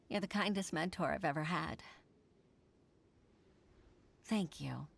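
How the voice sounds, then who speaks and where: A woman's voice: lowish voice, slow pace, creaky, a bit bright, breathy edge, heavily acted. A woman voicing an earnest middle-aged woman secretary and mother in a video game, who talks hesitantly and sighs often. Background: game music.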